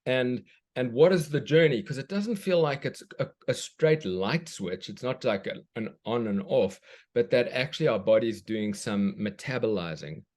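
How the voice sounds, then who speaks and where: A man's voice: medium pitch, expressive, normal speed, a little mellow. A man from South Africa, a children's book author, speaks thoughtfully as a guest, often restarting as he searches for words. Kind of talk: reflective conversation.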